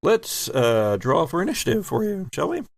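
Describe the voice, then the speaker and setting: A man's voice: a little high, sing-song, normal speed, gravelly, no echo. A man improvising narration as game master of a tabletop horror game.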